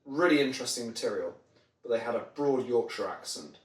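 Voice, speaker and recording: A man speaks as an interview guest in a roomy space, sitting a little away from the microphone. His delivery is conversational and explanatory, built around examples, in a medium-pitched voice. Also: animated, brisk. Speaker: podcast guest, marketing trainer. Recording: roomy echo, distant microphone.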